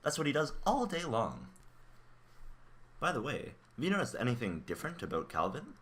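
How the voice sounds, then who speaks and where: Man playing an excitable, animated researcher. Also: mid-range voice, normal speed. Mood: agitated, chatty. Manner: animated, exclaiming, informal. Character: sleep-starved male robotics researcher, excitable and gossipy. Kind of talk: radio play acting.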